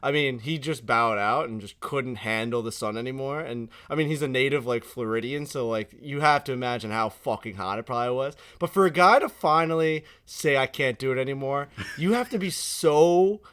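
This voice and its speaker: A man's voice: medium pitch, moderate pace, hardly any pauses, fairly bright tone, smooth. A man co-hosting a golf podcast, rambling with lots of fillers and swearing. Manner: rambling, filler-heavy, profane. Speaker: podcast co-host. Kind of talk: casual chat.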